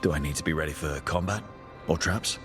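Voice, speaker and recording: A man voicing a curious adventurer leader who asks calm, measured, probing questions in a game cutscene. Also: a bass voice, soft background music. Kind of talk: video game cutscene dialogue.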